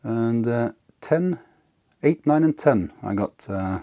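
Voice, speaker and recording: A man giving casual, unscripted hands-on narration as an electronics hobbyist, halting and half talking to himself as he works. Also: medium pitch, expressive, mellow. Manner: halting, muttering to himself while working.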